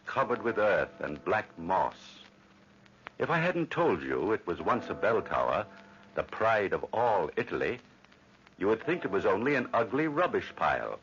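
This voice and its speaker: An actor playing a solemn, eerie storyteller, narrating in theatrical old radio drama style. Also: male voice, lowish voice, a bit bright, slight background noise, exaggerated voice. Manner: portentous, theatrical. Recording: dull old radio recording. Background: music.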